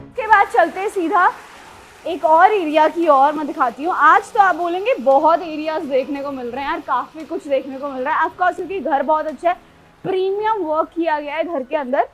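A young woman with a high voice presents a property tour. She talks conversationally and directly to the viewer, dropping in English words. Music plays behind her. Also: normal speed, rough recording. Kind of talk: chatty presenter-style house tour mixing in English words. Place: large house, its covered poolside and garden.

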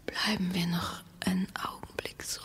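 An actress playing a dreamy, estranged wife in a radio play, with a breathy, often whispering voice and dramatic delivery. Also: female voice, lowish voice, flat delivery. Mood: hushed, dreamy. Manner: slow, hushed, dramatic delivery. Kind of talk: German radio play acting.

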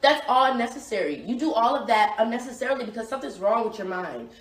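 Scolding woman, highish voice, echoey. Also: expressive, fast talker. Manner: scolding, insistent, repetitive. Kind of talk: argument on a call. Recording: echoey, distant phone-call recording.

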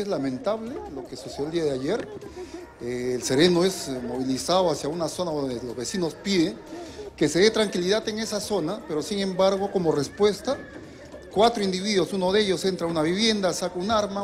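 A middle-aged Peruvian man, a municipal security manager, answering earnestly in a live outdoor TV interview with fillers and restarts. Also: high-pitched. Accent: Peruvian Spanish. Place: outdoors on a city street. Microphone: handheld reporter mic. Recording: music bed underneath.

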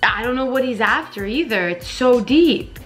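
A woman in her twenties vlogging at her family home, talking to a handheld camera in a casual, chatty, excitable way. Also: on the high side, soft background music. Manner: chatty, excitable, quick exclamations. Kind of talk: casual vlog chat. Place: family home, kitchen and backyard deck. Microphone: handheld camera close up.

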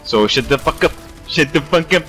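A man's voice: fairly high pitch, animated, normal speed, fairly bright tone, faint music behind. Joking, swearing male gamer on voice chat. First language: not English. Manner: joking, swearing, repeats himself. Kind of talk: casual trash talk.

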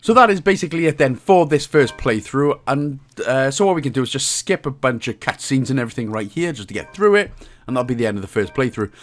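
A man's voice: medium pitch, expressive, quick pace, soft background music. A man with a Welsh accent giving casual, chatty, slangy walkthrough commentary on a video game as the guide's host. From Wales.

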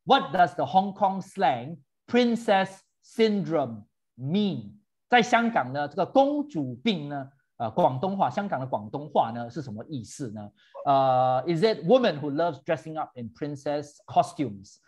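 A man's voice: a little high, very animated, a little slow, darkish tone. A man hosting a virtual tour for seniors, chatting animatedly and switching between English and Mandarin. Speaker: host.

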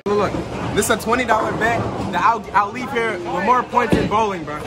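A young man vlogging casually with friends on a handheld phone camera, loud and joking, laughing a lot. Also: high voice, brisk, over a noisy crowd, heavy background noise. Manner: loud, joking, trash-talking, laughing often. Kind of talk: casual hangout vlog with friends. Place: bowling alley, then woods outdoors.

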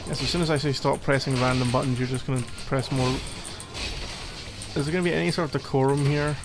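A man's voice: mid-range voice, steady tone, fast, over music, close to an everyday voice. A man playing a needy, playfully exasperated gamer boyfriend in a casual, intimate ASMR roleplay, teasing as he talks. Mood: playful exasperation. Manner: teasing, mock-exasperated, conversational.

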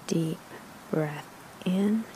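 A woman narrating a guided meditation in a soothing, hushed manner, with breathy phrase endings and long pauses between short phrases. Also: lowish voice, slight background noise, poor audio.

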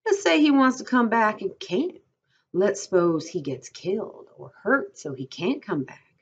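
A lonely stable hand played by a woman reading aloud who needles and broods in rustic dialect. The voice is rough-edged and husky, with bitterness under it. The sound is muffled and lo-fi. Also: mid-range voice, very animated, a little slow, voice pushed up, full character voice. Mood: taunting.

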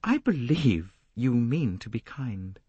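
A man's voice: a little high, very animated, moderate pace, slightly rough, no echo, lo-fi sound, voice pushed up, full character voice. A man voicing a bitter, desperate young woman with a hard-edged, intense manner, in an audiobook novel.

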